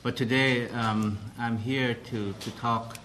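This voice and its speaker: A man giving a formal press briefing as president of a council, speaking carefully with many pauses and restarts. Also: mid-range voice, steady tone, a bit bright, a little hiss or hum.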